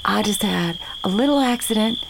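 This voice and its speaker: A woman's voice: mid-range voice, expressive, normal speed, vocal fry, background noise. A frightened mother. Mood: hushed. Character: frightened young mother in the 1930s rural South. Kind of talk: 1930s southern gothic radio drama.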